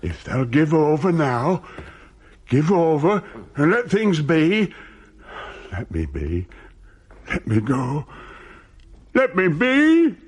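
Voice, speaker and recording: An anguished male ghost with a Northern English dialect. The high, husky voice swoops widely and drags out its archaic pleas and threats very slowly, as radio-play acting. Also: huge pitch swings, heavily acted. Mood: tormented pleading. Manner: pleading, drawn-out, archaic speech.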